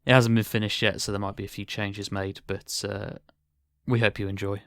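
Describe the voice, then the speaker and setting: A man's voice: fairly low pitch, flat delivery, normal speed, a bit bright, no room sound, clean studio sound. A man hosting a podcast in casual chat, with a hesitant, understated way of talking. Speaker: podcast host.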